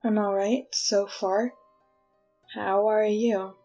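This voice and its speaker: A woman's voice: mid-range voice, level tone, slow pace, dark tone, lo-fi sound. A woman playing a resigned, wry condemned prisoner in a 1940s-style radio drama, talking in a folksy, confiding way. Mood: resigned, bitter. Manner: colloquial, confiding. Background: music.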